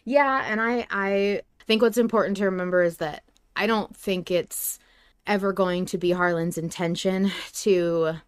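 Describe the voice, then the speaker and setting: A woman co-hosting a podcast in casual fan discussion, talking in an animated, informal and teasing way. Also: medium pitch, unhurried, clean tone, no echo. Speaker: podcast co-host.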